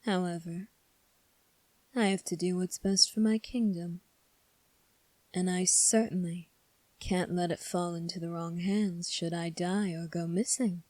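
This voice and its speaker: A woman voicing a composed, coolly defiant young fantasy prince in an ASMR roleplay, speaking formally and deliberately with long pauses. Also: on the low side, fairly even intonation, dark tone, no echo, close to an everyday voice. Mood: regretful, resolute.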